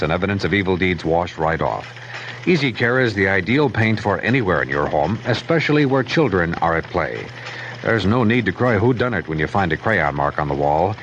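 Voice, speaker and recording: A man's voice: deep voice, a bit bright. A man reading a scripted 1970s radio commercial as announcer, with a wry delivery. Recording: slightly noisy vintage radio broadcast.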